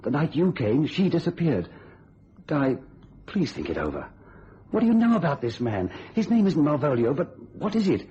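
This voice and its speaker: Earnest stagehand with animated delivery. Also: male voice, on the high side, moderate pace. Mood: worried. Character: earnest, worried stagehand who builds magic tricks, lovesick. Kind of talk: radio thriller acting. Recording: muffled radio recording, heavy hiss.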